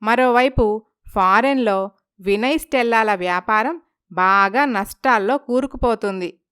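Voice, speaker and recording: Woman narrator, scripted storytelling, higher pitch, clean and smooth. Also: unhurried, a bit bright, no room sound, studio quality.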